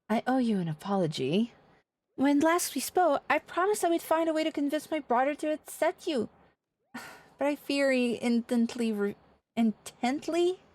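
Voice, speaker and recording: A woman's voice: sits high, a little mellow. A woman voicing a worried, exasperated older sister in a fantasy game, reading the dialogue with formal, old-fashioned phrasing. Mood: apologetic. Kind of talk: reading game quest dialogue aloud in character.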